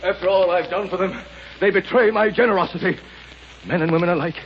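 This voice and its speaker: Casting: man playing indignant, possessive rich despot, very high-pitched declaiming, scratchy vintage radio melodrama. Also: fairly quick, close to an everyday voice. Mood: bitter, resentful. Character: self-righteous wealthy male tyrant, wounded, possessive, imperious. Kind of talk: 1930s radio drama acting. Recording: scratchy muffled 1930s radio recording.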